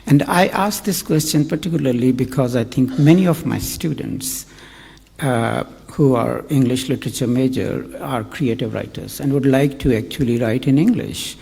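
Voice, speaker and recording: A man's voice: medium pitch, normal speed, gravelly, mellow, breathy edge, long reverb tail. A man interviewing a guest, a professor who asks short questions and adds brief affirming sounds. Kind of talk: hosted interview.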